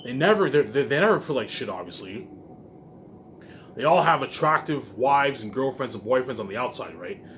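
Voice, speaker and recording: A man's voice: mid-range voice, lively intonation, moderate pace, fairly bright tone. A man rambling casually to viewers in an emphatic, repetitive, profane way, leaning on the same verbal tags. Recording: faint laundry-machine background noise.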